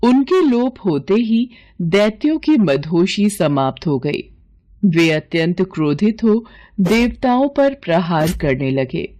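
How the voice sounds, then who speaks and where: A woman tells a mythological bedtime story from a script. Her pace is unhurried and her voice mellow, with lively, wide swings in pitch. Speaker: storyteller, narrator.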